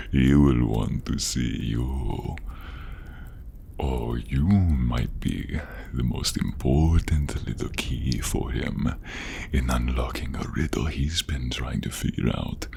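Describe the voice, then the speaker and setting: Gravelly, sinister fantasy inquisitor. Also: male voice, a little hiss or hum, heavily acted. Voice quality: deep, gravelly, breathy. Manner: theatrical, savoring words, grandiose. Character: sinister, gloating royal inquisitor, fawning before his king. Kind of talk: fantasy audio role-play acting.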